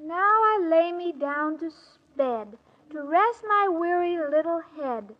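A woman's voice: very high-pitched, slow pace, exaggerated voice. An actress playing a dim-witted, sweet young woman with childlike earnestness in a 1940s radio comedy. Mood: childlike, dreamy. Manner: childlike, earnest, deadpan innocence. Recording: muffled old radio recording, clear hiss.

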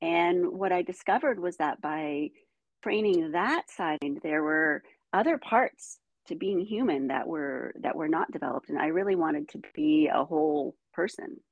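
Woman, middle-aged, grew up speaking Ukrainian, husky, dry close sound, animated storyteller. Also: lowish voice, a little slow, no room sound, highs cut off. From United States. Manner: animated storytelling, frequent "you know". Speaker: guest, dancer. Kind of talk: conversational storytelling.

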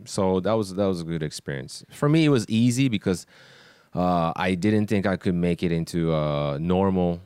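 A man in his twenties from Japan talks in a laid-back, hesitant way, often pausing on fillers. His very low voice is smooth and resonant, recorded close and dry. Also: no room sound. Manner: relaxed, hesitant, many fillers. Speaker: sound engineer filling in as co-host. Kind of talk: casual podcast chat.

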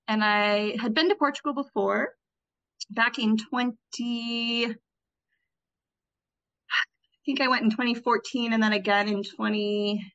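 A woman in her thirties answering interview questions about her volunteer years, thoughtful, pausing and restarting often. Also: mid-range voice, fairly bright tone, muffled recording. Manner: reflective, with frequent restarts and fillers. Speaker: interview guest, former Peace Corps volunteer.

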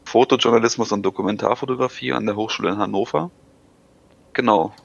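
A man in his thirties speaks with a low, gravelly voice, pausing and filling gaps as he answers questions. The recording sounds dull and lo-fi, completely dry with no room around it. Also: steady tone, no room sound. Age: 30s. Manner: halting, many filler sounds. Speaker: podcast guest. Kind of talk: casual interview answers.

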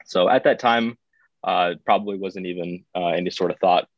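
A man, talking as an interview guest in an unhurried way with frequent filler sounds. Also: low-pitched, no echo. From born in Baku, raised in the United States. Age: 30s. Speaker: podcast guest. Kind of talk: casual interview. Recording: dull, dry sound.

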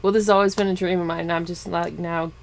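Chatty woman in her forties, gravelly. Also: on the low side, little pitch movement, quick pace. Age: 40s. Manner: chatty, laughs often, trailing fillers. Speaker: interviewer. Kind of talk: casual interview chat. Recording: faint background noise.

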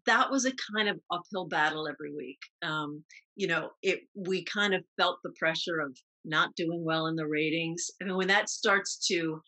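A woman with an American accent talks as an actress being interviewed. Her voice is fairly low and bright, with a husky grain, and her phrasing is clipped and reflective. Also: normal speed, poor audio. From New York, United States. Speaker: guest, actress recalling TV roles.

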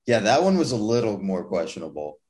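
A man's voice: lowish voice, sing-song, brisk, a little mellow. A young man co-hosting a sports podcast, chatting casually with dry, wry remarks. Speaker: podcast co-host.

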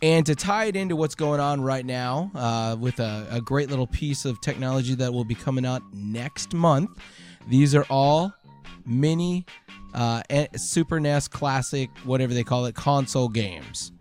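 A man hosting a podcast quiz, explaining casually and haltingly, correcting himself as he goes. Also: medium pitch, a little mellow, faint music behind.

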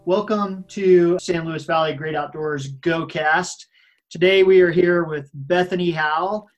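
A man hosting a podcast interview, friendly and quick to laugh along. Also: fairly high pitch, steady tone, faint music behind, rough recording. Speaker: podcast host. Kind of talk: conversational interview.

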